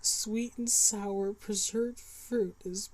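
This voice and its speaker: A woman's voice: mid-range voice, steady tone, extremely slow, darkish tone, poor audio. A woman streaming a game, chatting casually and reacting with playful, wry remarks. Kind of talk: casual chat reacting to game, reading text aloud.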